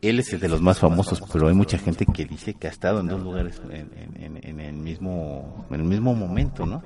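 A man's voice: low-pitched, normal speed, crowd noise. A man, co-hosting a casual chat and explaining with frequent questioning tags. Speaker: podcast co-host. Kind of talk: casual chat about the paranormal.

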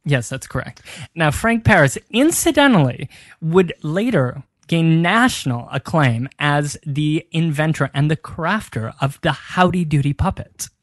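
A man co-hosting a podcast, telling local history and ghost stories with dramatic pauses. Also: a little high, expressive, no echo, studio quality. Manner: builds tales with dramatic pauses. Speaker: podcast co-host. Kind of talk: storytelling history chat.

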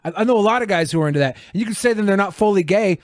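A man with a high, very lively voice co-hosts a podcast. He talks in casual, crude banter, joking freely. The sound is dry and close. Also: racing, dry recording. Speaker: podcast co-host reacting to a video.

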